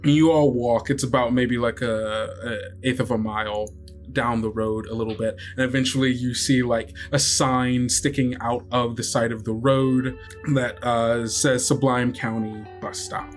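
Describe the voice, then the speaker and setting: A man's voice: mid-range voice, fairly even intonation, unhurried. Man narrating a tabletop game over music. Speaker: game master.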